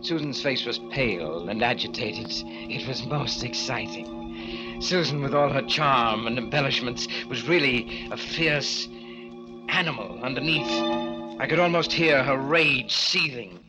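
A man playing a smooth, scheming radio-drama villain. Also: mid-range voice, expressive, measured pace, music in the background, natural voice. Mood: gloating narration. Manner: insinuating, coolly needling, feigned innocence. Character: scheming, cold-blooded man, smoothly manipulative villain and narrator. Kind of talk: old-time radio drama acting and narration. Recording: muffled, band-limited old radio recording.

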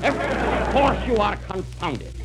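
Pompous, high-voiced, blustering lord. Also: male voice, sing-song, slow pace, faint music behind, exaggerated voice. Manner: pompous, blustering, mock-refined. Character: exasperated, pompous British lord and grasping husband. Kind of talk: 1930s radio comedy acting. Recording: faintly noisy vintage radio broadcast.

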